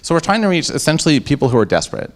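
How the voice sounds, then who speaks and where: Enthusiastic man pitching his startup. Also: sing-song, racing. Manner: enthusiastic, upbeat salesman patter with frequent restarts. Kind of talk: pitch presentation and audience Q&A. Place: event room before a live audience. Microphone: handheld microphone.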